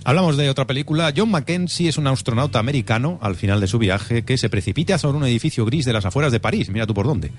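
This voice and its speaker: A man from Spain hosting a radio film show, joking and quick to argue as he reads out film synopses. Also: medium pitch, expressive. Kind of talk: film review radio chat.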